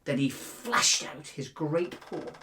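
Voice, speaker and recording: A middle-aged man with a British accent, speaking as a headmaster to children in an expressive, carefully phrased storytelling style. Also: on the high side, slow, a little hiss or hum. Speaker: school headmaster reading to pupils.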